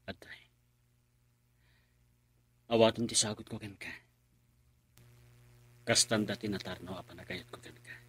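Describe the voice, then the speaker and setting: A man's voice: fairly low pitch, a little slow, long pauses, husky, no echo. A man playing an earnest, lovestruck young suitor in a radio drama. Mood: tender, bashful. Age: young adult. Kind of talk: Filipino radio drama acting.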